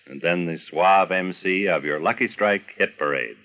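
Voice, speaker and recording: A man's voice: sits low, moderate pace. An American man reading scripted radio documentary narration as the narrator. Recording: dull vintage radio recording.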